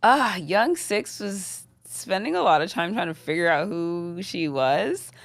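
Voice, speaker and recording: A woman's voice: lowish voice, expressive, measured pace, no echo. Chatty young woman, UK-raised, dry room. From United Kingdom. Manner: laughs often, chatty, lots of "like" and "um". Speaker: guest rapper. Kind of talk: casual interview chat.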